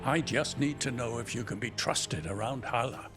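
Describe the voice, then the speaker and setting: An actor playing a cranky old elf mentor in a video game, wry, blunt and teasing. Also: male voice, medium pitch, normal speed, music in the background, close to an everyday voice. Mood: grave, wary. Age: older. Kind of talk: video game dialogue acting.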